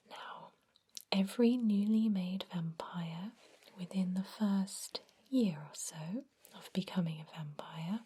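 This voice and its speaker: Slow, breathy whispering woman. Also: fairly low pitch. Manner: soft, reassuring, unhurried whisper. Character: kindly, reassuring female vampire doctor. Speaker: ASMR performer playing a doctor. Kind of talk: whispered ASMR medical roleplay.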